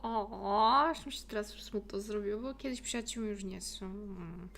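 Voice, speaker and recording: A young Polish woman giving excitable, playful, giggly reaction commentary as a game streamer. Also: mid-range voice, normal speed. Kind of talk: casual reaction commentary over gameplay. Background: game music.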